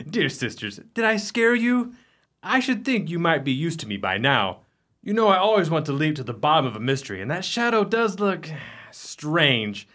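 A man's voice: on the high side, very animated, moderate pace, pushed and bright. A man acting a blustering, domineering brother in a radio play, emphatic and condescending. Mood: forced cheer. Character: blustering, domineering brother masking unease with forced good humor.

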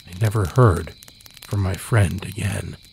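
A man's voice: deep voice, measured pace, gravelly, darkish tone, a little hiss or hum. A man reading scripted narration as a storyteller and podcast host.

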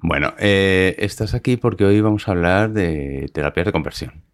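A man's voice: low-pitched, moderate pace, clear, resonant tone, no echo, studio quality. A man from hosts a conversational interview in an encouraging way. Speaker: interview host.

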